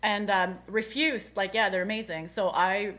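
A woman's voice: medium pitch, fairly even intonation, normal speed, a bit bright. A woman from Sweden, co-hosting a radio talk and chatting casually. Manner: chatty, uses 'like' often. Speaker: radio co-host, station board member.

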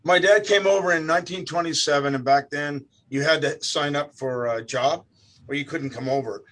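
An older man from Canada, a guest musician telling stories about his family in a relaxed, rambling way, often repeating words. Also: a little high, moderate pace. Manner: rambling, repeats words, animated when quoting. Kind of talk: relaxed storytelling.

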